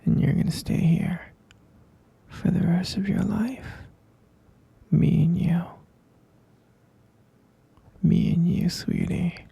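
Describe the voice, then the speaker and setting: A man playing an obsessive, possessive lovesick kidnapper boyfriend in an ASMR roleplay, cooing and coaxing insistently in a breathy, husky whisper. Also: high-pitched, steady tone, slow, long pauses, dark tone, dry recording, exaggerated voice. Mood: possessive, firm.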